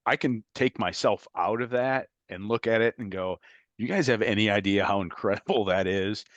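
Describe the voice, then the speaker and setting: Deep-voiced man recalling stories, dry sound. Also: moderate pace, no room sound, highs cut off. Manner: reflective, chuckles while telling stories. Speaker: guest, former FBI agent. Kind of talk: conversational interview.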